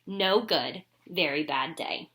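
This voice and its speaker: A woman's voice: fairly low pitch, takes it slowly, fairly bright tone. A woman reading a children's picture book aloud expressively, with dramatic, emphatic phrasing. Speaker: storybook reader. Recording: roomy, echoing room sound.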